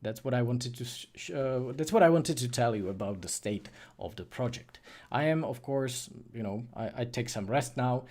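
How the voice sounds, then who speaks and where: A man with a Czech accent hosts a casual livestream. He talks informally and a little hesitantly, often rephrasing himself as he answers questions from viewers. His pitch sits in a middle range for a man. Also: normal speed, a little mellow. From Czech Republic. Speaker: livestream host, freelance 3D artist and course creator.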